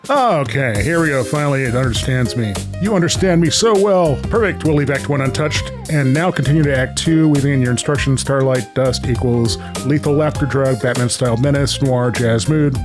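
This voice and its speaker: A man's voice: medium pitch, normal speed, few pauses, music in the background. A man hosting a show with casual chat and reading aloud, in a playful, goofy manner. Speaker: show host and creator.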